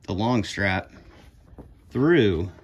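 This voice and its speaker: A young man casually walking through steps of a how-to under a carport at night, speaking in relaxed, plainspoken directions to a phone camera as he moves around. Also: lowish voice, very slow, husky, dull audio. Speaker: kayaker demonstrating gear. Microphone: phone camera, moving around the car.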